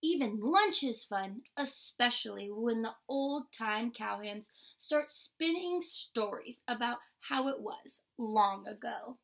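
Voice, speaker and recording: A young adult woman reading a picture book aloud like a teacher, in a measured, instructional way. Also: fairly high pitch, animated, gravelly, fairly bright tone. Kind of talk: picture-book read-aloud with short explanations. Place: indoor room with painted mural wall.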